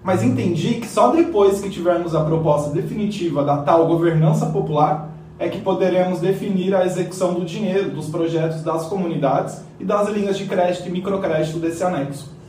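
A man's voice: high-pitched, brisk, darkish tone, close to an everyday voice. A man acting an eager, confident community member explaining things colloquially in a radio play. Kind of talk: scripted educational radio play. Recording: very echoey, distant mic, faint noise.

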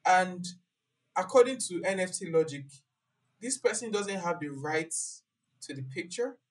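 A woman hosting a casual chat, animated, laughing and restarting her sentences often. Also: lowish voice, measured pace. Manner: animated, laughs, talks with many fillers and restarts. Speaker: podcast host.

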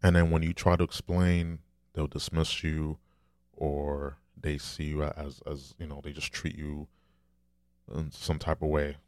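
A man hosting a solo podcast, talking in a deep, soft voice with a rambling, conversational manner and many restarts. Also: level tone, a little slow, clean tone, no echo. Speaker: podcast host.

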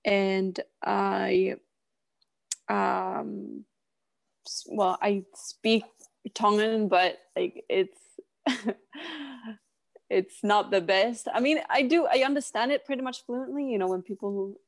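A woman's voice: medium pitch, steady tone, takes it slowly, gravelly, long pauses. A young woman whose first language is Swedish, a chef chatting as an interview guest in a hesitant way with many fillers. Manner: hesitant, frequent "you know" fillers. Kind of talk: casual interview chat.

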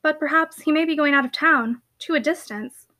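Lady doing a soft polite young gentlewoman in a storybook, sorrowful, hesitant, high clear-cut voice. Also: moderate pace. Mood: subdued, despondent. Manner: subdued, courteous, hesitant. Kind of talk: audiobook character voice.

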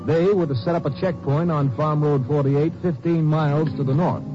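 A man giving formal, measured scripted narration for a radio drama. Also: fairly high pitch, steady tone. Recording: dull old radio with music behind.

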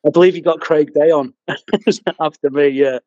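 A man's voice: on the high side, moderate pace, mellow, dry recording, dull audio. A man with a Mancunian accent, chatting as a podcast guest, hesitant with lots of ums and restarts, chuckling at himself. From England. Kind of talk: casual interview chat.

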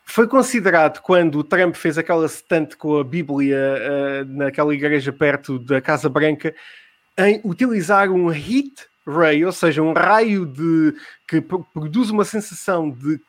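A man's voice: fairly high pitch, measured pace. A man with a European Portuguese accent, hosting a comedy news show in a casual, chatty presenting style.

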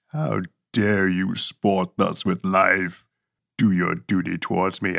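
A brooding, anguished male creature, voiced by a man, pleading in slow, heavy phrases. The voice sits low and grinds with gravel. A husky grain runs under every word. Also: no room sound, clean studio sound, exaggerated voice.

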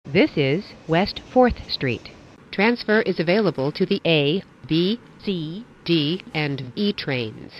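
An automated-sounding subway announcer reading stops and transfers in flat, measured phrasing. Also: mid-range voice. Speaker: train announcer. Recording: dull lo-fi audio.

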